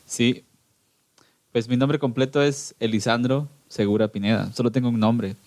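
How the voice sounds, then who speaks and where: A man's voice: mid-range voice, steady tone, normal speed, darkish tone. A Guatemalan man in his twenties, a guest in a casual interview, talking nervously and laughing often as he jokes about himself. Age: 20s.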